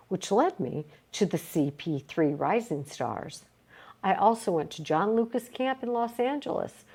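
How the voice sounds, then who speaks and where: Woman reading aloud with lively, varied intonation. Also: medium pitch. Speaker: reader of a book read aloud. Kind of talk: read-aloud nonfiction, motivational self-help.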